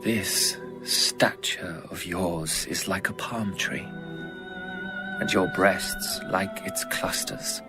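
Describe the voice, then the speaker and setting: A man reading as a tender, adoring biblical lover in a measured, poetic dramatized audio Bible style. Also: low-pitched, over loud music.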